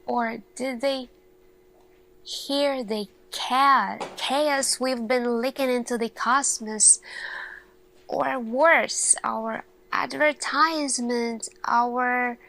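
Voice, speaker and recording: A woman playing an earnest, thoughtful lead scientist in an ASMR roleplay, speaking in a hushed, deliberate way with pauses and searching questions. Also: a little high, fairly even intonation, vocal fry, full character voice, poor audio. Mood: grave, wondering.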